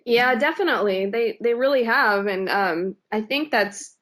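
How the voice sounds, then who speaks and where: A woman's voice: fairly high pitch, expressive, moderate pace, a bit bright. A woman who sings in a cover band, answering interview questions in a casual, hesitant way with lots of fillers and restarts. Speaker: singer in a cover band, guest.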